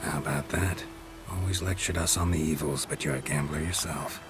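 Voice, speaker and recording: Video game monster hunter. Gruff, stoic, rock-bottom pitch, terse and dry, over clear background music. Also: male voice, moderate pace. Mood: wry. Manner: terse, deadpan.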